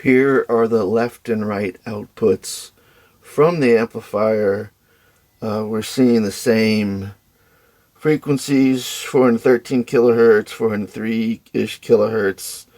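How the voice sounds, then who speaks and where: A man giving an informal technical demonstration, speaking hesitantly and haltingly as he thinks aloud. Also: lowish voice, fairly even intonation, a little mellow. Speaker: host of an electronics testing channel.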